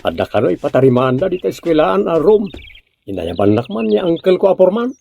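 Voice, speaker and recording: A man's voice: on the high side, big pitch swings, a little fast, gravelly, dark tone, highs cut off, exaggerated voice. A man with a Filipino accent playing a chummy, teasing village buddy in a radio comedy drama.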